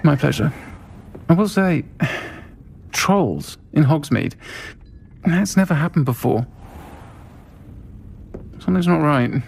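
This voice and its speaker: Creaky-voiced tavern landlady. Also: on the high side, animated, slow, long pauses, darkish tone, a little hiss or hum, full character voice. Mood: friendly, concerned. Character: warm, protective, unflappable woman tavern keeper.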